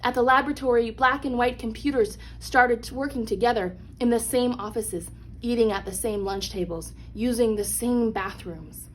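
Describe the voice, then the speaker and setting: A woman's voice: fairly high pitch, moderate pace, low-quality recording. A woman reading a children's picture book aloud as a storyteller, expressively and with dramatic emphasis on key phrases. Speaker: read-along storyteller. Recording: clear background noise.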